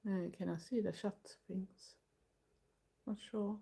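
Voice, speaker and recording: A Swedish woman, a native Swedish speaker and older goth streamer, chatting casually in English with a Swedish accent, pausing often while she waits for her live chat. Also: mid-range voice, gravelly. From Sweden. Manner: relaxed, chatty, pauses often to read the chat.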